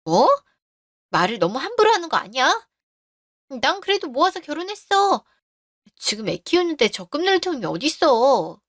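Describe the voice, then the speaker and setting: A woman doing a shrill snippy younger sister. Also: no echo, studio quality. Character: sharp-tongued selfish younger sister, young mother.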